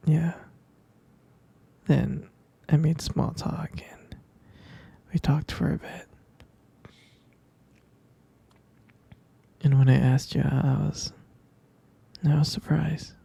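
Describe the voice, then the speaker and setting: Doting young vampire boyfriend , played by a man, ASMR roleplay. Very dark, heavily creaky whisper, tender and teasing. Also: on the high side, dry recording, full character voice. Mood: soft, reminiscing. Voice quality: breathy, husky whisper. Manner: slow, hesitant, tender pet names.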